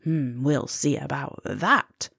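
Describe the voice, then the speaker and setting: A woman voicing a small, plucky young female moth in a children's storybook, with gasps and excited exclamations. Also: rumbling low, takes it slowly, vocal fry, slightly rough, no echo, clean studio sound, voice pushed down, exaggerated voice. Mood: determined.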